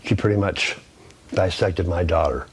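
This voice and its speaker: A man's voice: low voice, normal speed, noisy background. A man speaking unscripted as an interviewee. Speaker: bereaved father interviewee.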